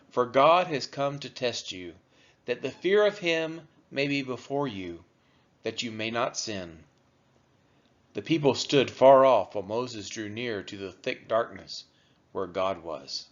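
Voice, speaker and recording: A man reading scripture aloud as a narrator for a church read-along, in measured, deliberate phrasing. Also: medium pitch, animated. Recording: dull, lo-fi recording.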